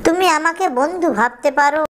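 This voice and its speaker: A woman's voice: sits high, moderate pace, a bit bright. A woman voicing a friendly, helpful little firefly in a cartoon story.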